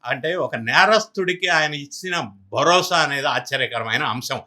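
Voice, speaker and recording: A man's voice: on the high side, animated, normal speed, sharp tone. A man with a Telugu accent giving emphatic political news commentary, often posing rhetorical questions.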